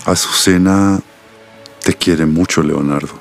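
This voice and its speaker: An actor playing an earnest, confiding middle-aged doctor and father in a radio soap opera, with a Colombian accent and measured, solemn delivery. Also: low voice, fairly even intonation, gravelly, soft background music, exaggerated voice. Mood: tender, solemn.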